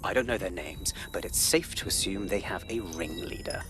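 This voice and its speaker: A man playing a grave, guarded intelligence analyst in a video game, speaking in formal, measured phrases. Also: sits low, over music, lightly acted. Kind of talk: cinematic video game acting.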